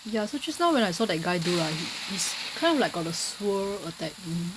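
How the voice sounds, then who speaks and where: A woman's voice: lowish voice, very animated, fairly quick, very noisy. A woman giving casual, rambling, hesitant commentary as they play through a video game. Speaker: gamer narrating a playthrough. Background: loud game music.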